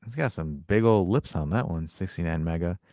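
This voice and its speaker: Low-voiced, joking American podcast host. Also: male voice, lively intonation, brisk, gravelly, dark tone, no echo, studio quality. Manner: joking, self-mocking, reads out fan comments. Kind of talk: casual chat.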